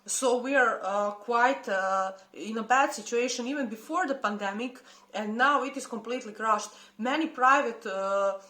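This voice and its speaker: A woman with a Serbian accent speaks in a fairly high voice, passionate and outspoken. She pauses often to search for her words. The recording has a noticeable roomy echo. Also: sharp tone. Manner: impassioned, halting with many hesitations. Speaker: protest commentator. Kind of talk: unscripted personal statement.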